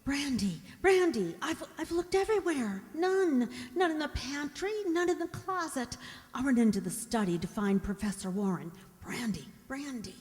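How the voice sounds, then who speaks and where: A woman playing a gentle, fearful young servant in a radio drama, voicing the inner thoughts of a mute girl in a breathy, earnest way. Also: high voice, lively intonation, normal speed. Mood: anxious. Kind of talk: radio drama acting, first-person narration. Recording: reverberant hall, music behind.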